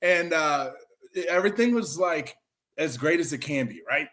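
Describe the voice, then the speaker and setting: A man's voice: on the high side, lively intonation, moderate pace, fairly bright tone. A man in his forties telling a long, rambling story in a loose, chatty way. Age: 40s. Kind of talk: casual chat, rambling storytelling.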